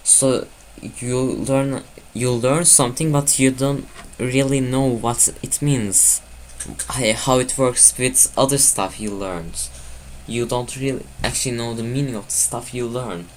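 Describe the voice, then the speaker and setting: A man's voice: medium pitch, a little slow. Guy rambling while playing a game, mid voice, faint noise. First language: Turkish. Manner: rambling, self-correcting rant. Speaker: let's play host. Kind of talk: casual gameplay commentary.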